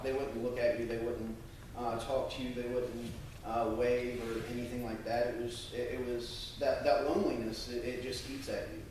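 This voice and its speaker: An American man speaking earnestly and a little haltingly to a congregation, as a church member sharing testimony and scripture. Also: medium pitch. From United States. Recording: very echoey room, distant mic, faint noise.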